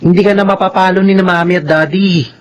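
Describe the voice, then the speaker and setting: A man's voice: sits high, steady tone, quick pace, dull audio, natural voice. A Filipino man playing a bossy, studious teenage older brother in a radio drama, admonishing his sibling. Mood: nagging.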